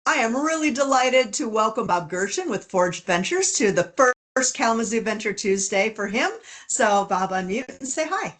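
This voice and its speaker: A woman's voice: fairly high pitch, expressive, normal speed, hardly any pauses, a bit bright. Upbeat woman hosting an online meeting. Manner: welcoming, upbeat. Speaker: meeting host.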